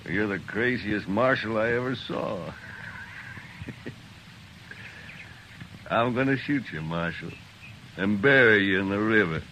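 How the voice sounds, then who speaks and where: A dangerous, cocky American outlaw, fairly low and very slow. He taunts with suspicion in 1950s radio style. There is faint noise on the old broadcast. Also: expressive, bright, forceful tone, heavily acted. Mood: mocking. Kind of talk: 1950s radio western acting.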